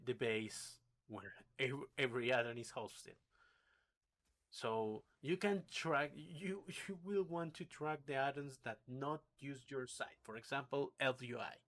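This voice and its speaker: A man with a Spanish accent and Spanish as his native language, delivering an unscripted, opinionated rant in a halting, repetitive, blunt and sweary way. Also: medium pitch, a bit bright. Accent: Spanish-speaking. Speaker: video creator.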